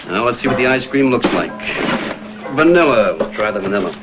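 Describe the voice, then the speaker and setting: A man's voice: medium pitch, animated, fairly quick, lightly acted. A man playing a cool, wry private investigator in a vintage TV crime drama, speaking in clipped, laconic lines. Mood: guarded, skeptical. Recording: dull vintage TV soundtrack, loud music behind.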